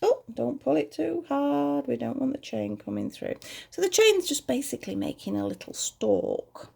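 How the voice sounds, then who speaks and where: A British woman hosting a crochet tutorial at home, chatty and rambling as she talks through each step, her voice a little croaky. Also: high voice, very animated, moderate pace, darkish tone. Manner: friendly, rambling, talks while working.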